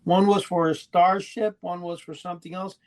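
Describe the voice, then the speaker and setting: A man's voice: sits high, flat delivery, moderate pace. A middle-aged man chatting casually as a podcast co-host, explaining in a rambling way and correcting himself as he goes.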